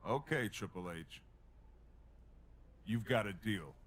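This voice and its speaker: A man playing a wary, deferential pro wrestler and uneasy ally in a video game cutscene, speaking in a measured, guarded way. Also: fairly low pitch, expressive, a bit bright, exaggerated voice.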